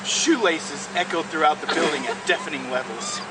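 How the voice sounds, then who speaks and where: Male co-host, slightly high voice, amused story reading and banter, laughter-prone, heavily noisy distant recording. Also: moderate pace. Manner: breaks into laughter while reading. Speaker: co-host reading a mad-libs story aloud. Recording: very noisy, distant mic, steady background hum.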